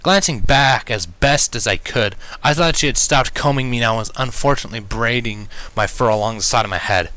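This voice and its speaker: A man reading fan fiction aloud as an expressive first-person story narrator. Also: medium pitch, low-quality recording.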